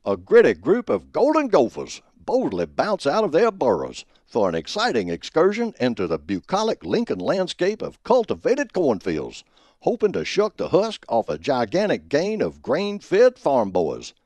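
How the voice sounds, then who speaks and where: A man performing as a folksy, pompous old football professor, giving grandiloquent scripted comic radio commentary in a husky voice. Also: on the high side, huge pitch swings, normal speed, darkish tone, dry recording. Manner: folksy, grandiloquent alliterative delivery. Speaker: host of a college football prediction show.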